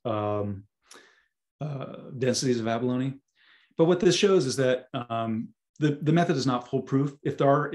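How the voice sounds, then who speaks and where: Hoarse male scientist presenting over a video call; halting, lots of ums, mid pitch. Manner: halting, many ums and restarts. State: hoarse, losing his voice. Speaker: guest speaker, scientist. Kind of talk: webinar presentation.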